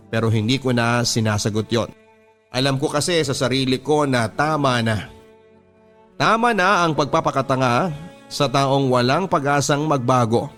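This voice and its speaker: Male radio drama narrator, Filipino accent, mid-pitched, confessional and sentimental storytelling with music underneath. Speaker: radio drama host reading a listener's letter. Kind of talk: dramatic first-person letter narration. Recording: music bed behind the voice. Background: background music.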